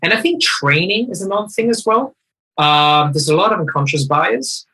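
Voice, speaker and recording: A man in a conversational discussion, talking in an animated, emphatic way and often rephrasing mid-sentence. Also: high voice, moderate pace, slightly rough. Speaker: guest speaker.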